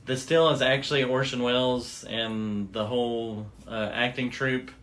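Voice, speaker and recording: A man's voice: mid-range voice, steady tone, measured pace, fairly bright tone. A man hosting a podcast, telling a story in a casual, informal and loosely phrased way. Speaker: podcast host.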